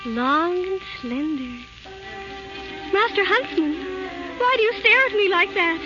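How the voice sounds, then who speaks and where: A woman's voice: extremely high pitch, expressive, slow pace, heavily acted. An actress playing a young, sweet, timid princess in a genteel, girlish 1930s radio style. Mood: gentle. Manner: girlish, genteel, wide-eyed. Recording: muffled 1930s radio broadcast with music.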